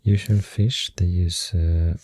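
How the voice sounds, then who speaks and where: A very soft, deep ASMR voice with a Swedish accent, almost all breath and whisper. The delivery is slow and flat in melody, gently rambling with pauses, right up close to the microphone. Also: breathy edge, no room sound. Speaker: ASMR host. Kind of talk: soft-spoken ASMR, rambling facts while drawing. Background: pencil writing and clicking sounds.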